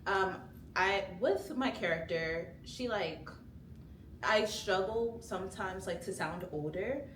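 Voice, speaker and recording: A woman's voice: medium pitch, slow, a bit bright. A young woman, a student actor, talking casually and hesitantly about her role, with many "um"s. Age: young adult. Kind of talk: casual chat about rehearsals. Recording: roomy, distant mic, noisy.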